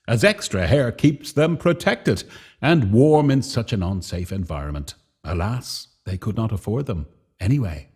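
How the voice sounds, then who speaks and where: A man narrating a scripted radio play in a dramatic, deliberate storytelling manner. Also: fairly low pitch, darkish tone, breathy edge.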